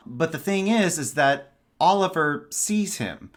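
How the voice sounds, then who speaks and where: A man's voice: fairly high pitch, animated, measured pace. A man speaking as a podcast guest in a casual book discussion, with a playful, emphatic manner.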